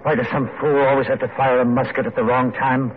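An actor playing a poor, proud, idealistic pamphleteer, wry and earnest, in an old radio drama. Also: male voice, medium pitch, little pitch movement, quick pace, phone-quality sound, lightly acted. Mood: fervent. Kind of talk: 1940s-50s radio drama acting. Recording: thin, noisy old radio recording.

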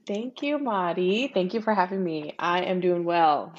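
A woman's voice: fairly low pitch, lively intonation, measured pace, muffled recording, slight background noise. A woman in her forties from Texas, chatting casually as a podcast guest, talkative with frequent laughs and fillers. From Texas, USA. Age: 40s. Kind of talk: casual interview chat.

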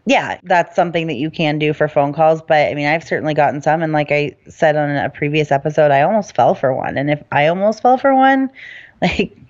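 A woman's voice: sits low, a little fast, highs cut off. A woman hosting a podcast, explaining things in a casual, chatty and informal way. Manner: chatty, informal, often hedging with "I mean" and "you know". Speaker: podcast host.

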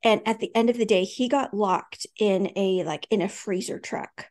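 A woman's voice: medium pitch, normal speed, darkish tone. A woman co-hosting a faith podcast in casual conversation, earnest and storytelling, with fillers and restarts. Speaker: podcast co-host.

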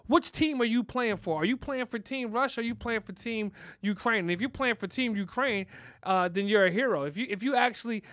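A man hosts a casual podcast in a high, bright voice, ranting loudly with plenty of swearing. The sound is dry and close on the mic. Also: no echo. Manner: loud, profane, rambling. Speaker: podcast host. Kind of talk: profane casual podcast rant.

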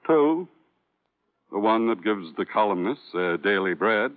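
An actor playing a sardonic Broadway producer, biting and theatrical, in an old-time radio crime drama. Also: male voice, on the low side, expressive, unhurried. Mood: sardonic, wistful. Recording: tinny, band-limited vintage radio recording.

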